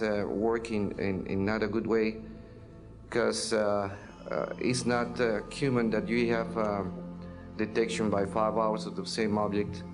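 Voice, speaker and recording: A man with a Mexican accent, a native Spanish speaker, giving testimony in an interview and haltingly searching for words in his second language. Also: fairly low pitch. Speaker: air traffic controller giving testimony. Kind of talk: interview testimony, unscripted recounting.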